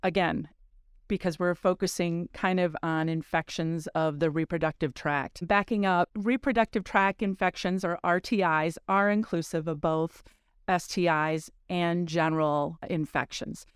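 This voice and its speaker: A woman doctor explaining medical terms on a podcast in a careful, measured, precise way. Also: lowish voice, steady tone, clear, resonant tone, dry recording, studio quality.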